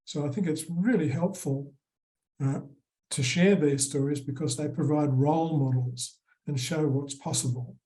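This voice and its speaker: Australian male presenter, warm dark timbre, steady formal delivery. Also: fairly high pitch, moderate pace, poor audio. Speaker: conference presenter.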